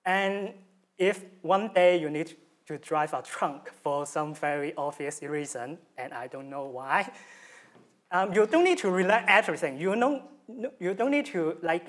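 A young man with a Hong Kong accent presents his research, a native Cantonese speaker talking in English. He is animated and gestures a lot, with frequent fillers and restarts. He speaks into a lectern mic in a lecture room. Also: a little high. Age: young adult. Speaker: student presenter. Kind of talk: academic presentation. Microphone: stand mic at lectern.

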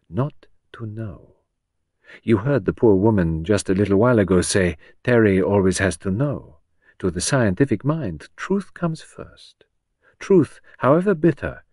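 A man doing a gentle detective. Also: mid-range voice, no room sound, clean studio sound, pitched above the performer's own voice. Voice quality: precise, formal phrasing. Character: fussy foreign male detective, gentle, weary. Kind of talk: audiobook character voice.

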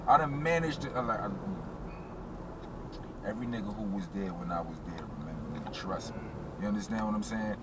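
A man's voice: lowish voice, a little fast, far from the mic. Man with a New York accent in a car. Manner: laid-back storyteller, frequent "you understand what I'm saying". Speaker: rapper, interview guest. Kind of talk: casual storytelling interview. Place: inside a moving car. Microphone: camera mounted on dashboard. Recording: car interior with loud road noise.

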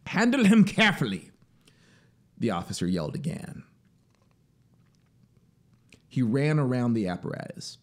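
A man in his thirties reading a story as dramatic, deliberate, expressive audiobook narration, close on the mic. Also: lowish voice. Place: small home music studio, indoors. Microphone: broadcast mic on boom arm, close. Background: ambient synth soundscape noise.

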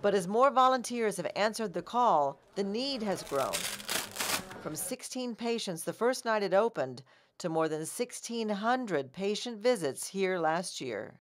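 A woman's voice: medium pitch, animated, measured pace, noisy background. A middle-aged American woman reading scripted TV news narration as an anchor and reporter.

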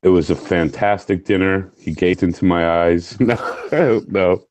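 Deep-voiced joking man on video call. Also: steady tone, unhurried, darkish tone. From Orange County, New York. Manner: jokey, candid, laughs easily. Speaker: guest, firefighter. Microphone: earphone headset on video call.

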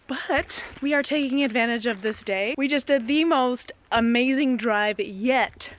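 A young American woman vlogging a road trip on a camera held at arm's length, excitable and chatty. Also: fairly high pitch, measured pace, a little hiss or hum. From United States. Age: young adult. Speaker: travel vlogger. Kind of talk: casual travel vlog. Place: outdoors and in a van and restaurant. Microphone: handheld camera at arm's length.

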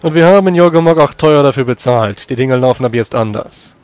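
Formally spoken man, medium pitch. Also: fairly quick, darkish tone, clear, resonant tone, dry recording, faint music behind. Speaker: tabletop role-playing player. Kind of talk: in-character improvised game dialogue.

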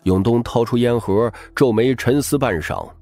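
A man's voice: medium pitch, normal speed, warm tone, no room sound, soft background music. A man reading a novel aloud as a scripted audiobook narrator.

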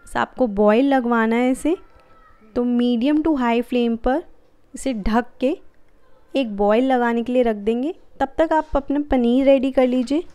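A woman hosting a cooking channel, giving calm, friendly step-by-step recipe instructions in a voice-over. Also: on the high side, measured pace, smooth.

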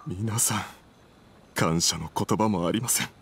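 A man's voice: on the low side, unhurried, creaky, slight background noise. A man playing a polite, humble young merchant in an anime game, speaking formally and earnestly. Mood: earnest gratitude.

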